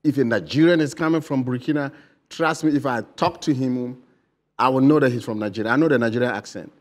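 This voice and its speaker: A Ghanaian man in his forties talks earnestly in a mid-range voice, pausing on fillers and restarting his sentences. He is a guest on a lapel mic in a bright TV studio. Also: mid-range voice. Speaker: political candidate guest. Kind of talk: studio interview answers.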